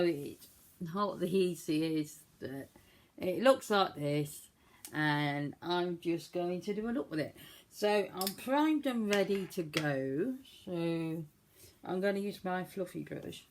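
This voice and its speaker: A woman with a cold, croaky voice, chatting casually through a makeup tutorial in a self-deprecating way. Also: fairly low pitch. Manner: chatty, self-deprecating, talks while working. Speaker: beauty vlogger.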